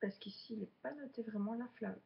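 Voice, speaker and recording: A woman's voice: on the high side, fairly quick, gravelly, muffled recording. A woman of twenty-seven from Belgium, chatting casually to viewers as a beginner vlogger during an unboxing. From Belgium, Charleroi region.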